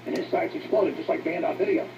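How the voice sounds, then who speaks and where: A man hosting a talk show, delivering impassioned, exhorting political monologues in a gravelly voice. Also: on the high side, fairly even intonation, fast talker, distant mic. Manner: fervent, exhorting. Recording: noisy, dull radio playback picked up from afar.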